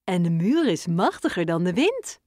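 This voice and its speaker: A woman's voice: fairly low pitch, very animated, moderate pace, darkish tone, dry recording, studio quality. A woman voicing a practical mother mouse in a children's storybook.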